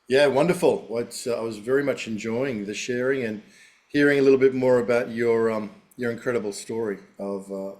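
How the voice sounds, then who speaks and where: A man greeting the hosts on an online video call, speaking warmly and hesitantly with many fillers. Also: fairly low pitch. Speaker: event organizer. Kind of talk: casual video call chat.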